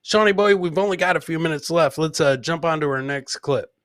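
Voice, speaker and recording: A man's voice: fairly high pitch, fairly quick, a bit bright, no echo, studio quality. A man hosting a casual live comedy show, chatting and reacting to clips, repeating himself and swearing freely. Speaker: podcast host.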